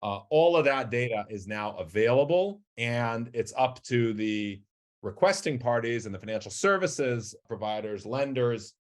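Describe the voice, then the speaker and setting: A middle-aged man giving measured, articulate explanatory answers as an executive guest in a business interview. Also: mid-range voice, animated. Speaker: company chief executive, podcast guest.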